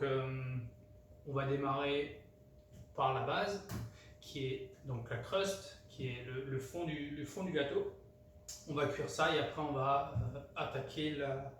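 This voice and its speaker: French guy teaching a recipe at home, kinda higher voice, lots of ums, noisy background. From France. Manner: hesitant, many fillers and restarts. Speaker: home cook, channel host. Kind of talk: casual cooking tutorial. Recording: very echoey room, mic far off, clear background noise.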